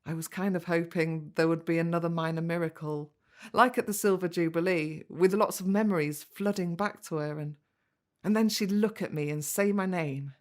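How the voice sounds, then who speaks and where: An actress plays a middle-aged daughter in an audio drama. Her voice is on the low side for a woman. She is gentle, wistful and understated, speaking naturally as if remembering her family. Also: close to an everyday voice. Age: late 40s.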